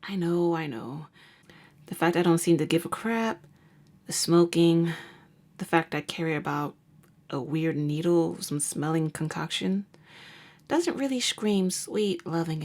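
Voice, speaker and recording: A woman's voice: sits low, darkish tone. A woman playing a jaded, sardonic vampire hunter who works as a nurse, talking in a wry, slangy way in an ASMR roleplay. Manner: wry, casual, slangy, unhurried. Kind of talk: ASMR roleplay audio drama.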